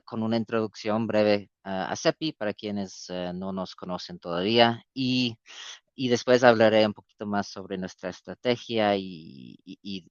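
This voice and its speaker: A man's voice: fairly low pitch, clear, resonant tone, no echo. Man, non-native speaker, hesitant with fillers, dry muffled call audio. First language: not Spanish. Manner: hesitant, many fillers and repeated words. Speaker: guest speaker for an epidemic preparedness coalition. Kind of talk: webinar presentation. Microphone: video call.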